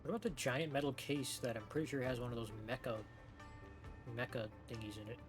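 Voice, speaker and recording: A man's voice: mid-range voice, fast, over music. A man giving casual gameplay reaction commentary as a streamer, informal and musing aloud. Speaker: game streamer.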